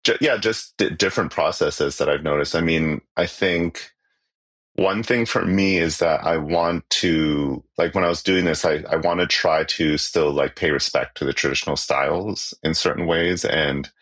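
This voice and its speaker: A Canadian musician, a native Cantonese speaker, talking casually in an interview with many fillers and restarts. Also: male voice, very deep voice, moderate pace, fairly bright tone.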